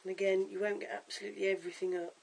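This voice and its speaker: A hoarse, croaky-voiced vlogger giving a casual at-home wig tutorial in a chatty, stop-start way, filmed on a camera's own mic. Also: mid-range voice, little pitch movement, very fast, poor audio. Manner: chatty, stop-start with fillers and self-corrections. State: hoarse, lost voice. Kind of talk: casual how-to demonstration.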